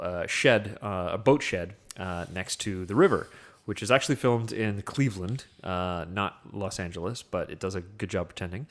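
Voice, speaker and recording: Male film podcast host, lower register, casual conversational recapping with little acted asides.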